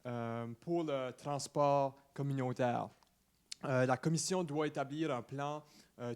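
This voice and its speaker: A man in his twenties with an Acadian New Brunswick French accent, giving an informal presentation at a meeting, often pausing mid-phrase. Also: medium pitch. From Saint-Louis-de-Kent, New Brunswick, Canada. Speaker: student presenter to a municipal council.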